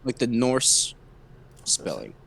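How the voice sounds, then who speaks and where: A man's voice: mid-range voice, huge pitch swings, slow, vocal fry, fairly bright tone, breathy edge. A man playing a tabletop game, bantering casually and jokingly with the group.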